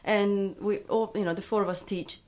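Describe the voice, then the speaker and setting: A woman's voice: medium pitch, steady tone, normal speed. A woman from Italy with an Italian accent, a yoga teacher talking as a radio guest in an agreeable way, with lots of fillers. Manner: warm, agreeable, filler-heavy. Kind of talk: casual interview. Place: radio studio.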